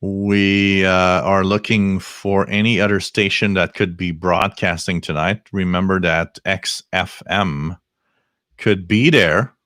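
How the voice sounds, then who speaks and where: A man's voice: low-pitched, fairly even intonation, slow pace, fairly bright tone, no echo, studio quality. A man hosting a live shortwave radio stream, joking, laughing often and reading out frequencies. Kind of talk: casual live-stream chat.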